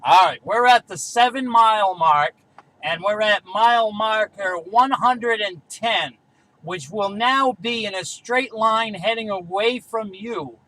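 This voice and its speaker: A man's voice: high voice, a little slow, bright, forceful tone, low-quality recording. A man making casual radio calls, repeating call signs and numbers as a hobbyist would. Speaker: CB radio hobbyist running a range test.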